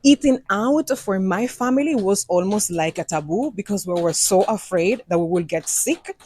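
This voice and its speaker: A woman's voice: medium pitch, dark tone, breathy edge. A middle-aged woman from Cuba with a Jamaican accent, telling a vlog story and repeating phrases for emphasis as she slips into patois.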